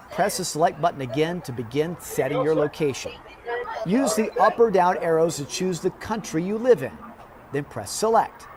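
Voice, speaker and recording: A man presenting a scripted how-to demonstration, going through each step in a clear, orderly way. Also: fairly high pitch, slight background noise. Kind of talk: scripted instructional narration.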